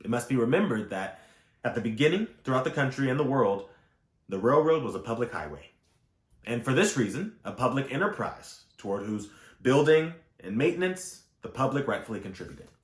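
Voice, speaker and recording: A man reading historical nonfiction aloud in a measured, formal way, phrasing each clause clearly. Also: medium pitch, big pitch swings. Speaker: audiobook reader. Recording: roomy, echoing voice recording.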